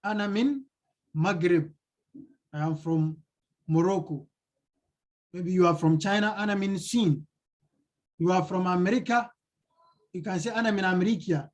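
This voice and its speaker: A man's voice: high-pitched, moderate pace, pauses often. A male teacher explaining a textbook lesson, translating it line by line and repeating key phrases. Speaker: Arabic teacher.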